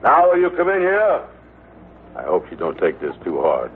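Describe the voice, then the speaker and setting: An actor playing a pompous, hapless big-talker in an old radio sitcom, blustering in folksy broken grammar and malapropisms. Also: male voice, sits low, wildly swooping pitch, normal speed. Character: scheming, hapless, pompous big-talking fiancé, a grown man. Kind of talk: 1940s-50s radio sitcom acting. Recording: noisy, tinny vintage radio broadcast.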